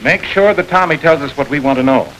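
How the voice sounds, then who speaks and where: An actor playing a calm, hard-nosed male crime investigator who presses suspects steadily, in 1940s radio acting style. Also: on the high side, a little fast, heavy background noise, lightly acted. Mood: stern. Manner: steady, coolly persuasive.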